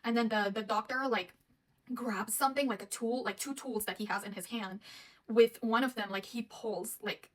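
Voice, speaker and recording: A woman's voice: a little high, steady tone, moderate pace. A young woman who works as a dental hygienist, from Afghanistan, telling a personal story in a casual, rambling way with many fillers and restarts.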